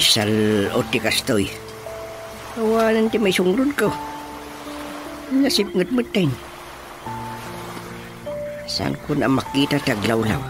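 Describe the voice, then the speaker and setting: Rustic young Ilocano fellow for radio comedy drama: plaintive, talkative, slow delivery, very lively intonation. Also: male voice, high-pitched, vocal fry, heavily acted. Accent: Filipino, Ilocano. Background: music.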